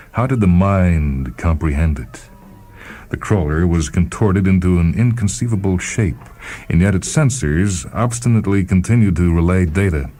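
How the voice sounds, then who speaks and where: A man with an extremely low-pitched American voice narrates fiction in a measured, grave way. His tone is dark and soft-edged, and he places each phrase deliberately. Music plays behind him. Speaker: radio host and story reader. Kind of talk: dramatic scripted short-story narration.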